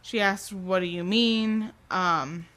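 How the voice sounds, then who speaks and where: Woman, podcast co-host, bright forceful voice, middle pitch, tipsy, sarcastic, exasperated, casual book recap. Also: takes it slowly.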